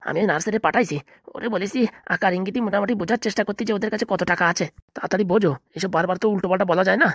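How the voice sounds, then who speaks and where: A man's voice: high-pitched, very fast, a bit bright, no echo, clean studio sound. A man playing a greedy, scheming hospital boss in a comedy cartoon, bossy and smug in a conversational way. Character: greedy scheming male hospital boss, self-satisfied crook.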